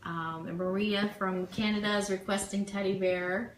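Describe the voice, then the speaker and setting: A chatty, enthusiastic woman co-hosting a casual livestream radio show, reading out requests and shout-outs. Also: mid-range voice, fairly even intonation, moderate pace. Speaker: co-host of an online fan radio show. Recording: roomy echo, clear background noise.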